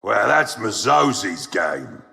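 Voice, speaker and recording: Very gravelly, surly soldier. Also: male voice, on the low side, sing-song, slow, pushed and bright, full character voice. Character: gruff, unimpressed rank-and-file soldier in sci-fi game. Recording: long hall reverb.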